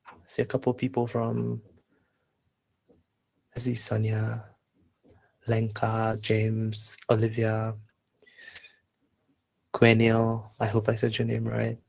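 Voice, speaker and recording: A man from Zambia hosting a live online workshop, chatting casually and haltingly as he reads out attendees' replies. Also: fairly low pitch, creaky, a little mellow. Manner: unhurried, halting, reading out chat replies. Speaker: workshop instructor and host.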